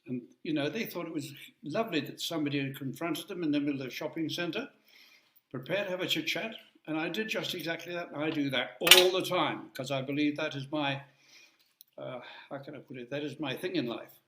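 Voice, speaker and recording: Older male speaker, talkative and jokey, slightly high voice, roomy echo. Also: a little fast, noisy background. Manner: chatty, jokey, rambling with asides. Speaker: guest speaker. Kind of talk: informal talk to an audience.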